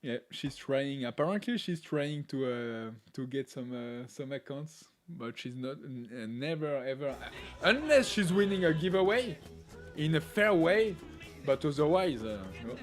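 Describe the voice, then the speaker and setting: A man hosting a live stream, chatting casually in English with a non-native accent, laughing often and filling pauses with "uh". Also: a little high, animated, soft background music. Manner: laughs often, talks with many "uh" fillers. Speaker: livestream host.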